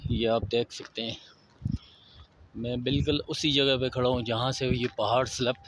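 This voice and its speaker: A man with a Pakistani Urdu accent, walking a mountain road and calmly pointing out sights to his viewers. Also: mid-range voice, rough recording. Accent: Pakistani Hindko-area Urdu. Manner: unhurried, pointing things out to viewers. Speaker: travel vlogger. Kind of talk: casual walking tour narration.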